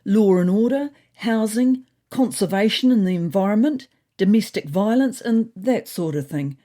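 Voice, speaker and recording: A woman's voice: mid-range voice, lively intonation, moderate pace, mellow, pitched above the performer's own voice, natural voice. A woman voicing a bossy, self-important female cat who makes pompous, waspish speeches in a comedy story podcast. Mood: pompous, grave.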